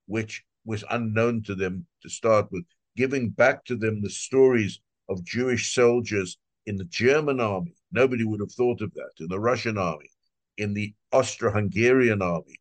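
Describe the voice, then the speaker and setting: An older, retired man speaking earnestly as an interview guest, in a measured, halting way. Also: lowish voice, no echo. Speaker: translator, guest. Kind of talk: casual interview talk.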